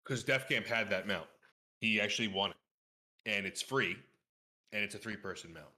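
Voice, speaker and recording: A man with a lowish voice and a bright, forceful edge. He talks as a guest in a relaxed gaming podcast, explaining details informally and knowledgeably. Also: moderate pace. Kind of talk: casual gaming podcast chat.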